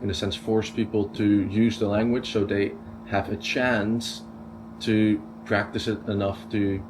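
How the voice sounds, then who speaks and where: Low-voiced casual interviewer, man. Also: unhurried, background noise. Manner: relaxed, rephrases answers back. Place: school office.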